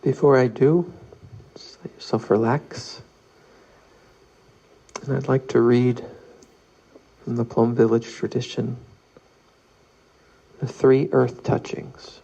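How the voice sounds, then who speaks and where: Slow, gravelly man leading guided meditation. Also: mid-range voice, lo-fi sound. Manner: calm, measured, with long pauses. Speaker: meditation leader. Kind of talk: guided meditation, read aloud.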